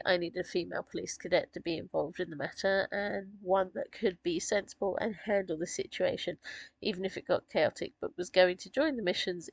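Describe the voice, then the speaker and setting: A woman with a croaky, gravelly voice from a sore throat, chatting casually about characters she wrote, rambling with fillers and small laughs. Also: on the low side, no echo, low-quality recording. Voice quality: gravelly, husky. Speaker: author discussing her characters. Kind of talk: casual chat.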